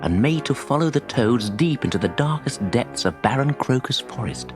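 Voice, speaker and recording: A male narrator reads a children's story aloud. His mid-pitched voice moves up and down with animated, dramatic expression, and music plays clearly behind him. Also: normal speed. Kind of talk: scripted children's audiobook narration.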